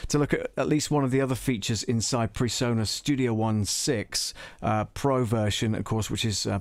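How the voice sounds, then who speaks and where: A man's voice: mid-range voice, dry recording. A man hosting a live tutorial in a casual, rambling, self-deprecating way. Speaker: host of a live audio production tutorial.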